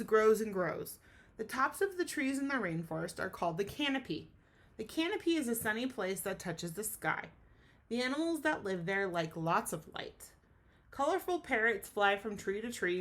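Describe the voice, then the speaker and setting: Expressive woman teacher reading aloud to children. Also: mid-range voice. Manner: enthusiastic, addresses children directly with questions. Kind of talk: expressive storybook read-aloud with teacherly asides.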